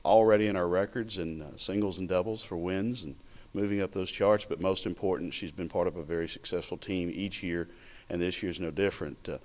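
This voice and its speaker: Male sports interviewer, low register, halting delivery with pauses mid-question. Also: fairly even intonation, a little mellow. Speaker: interviewer for college tennis program.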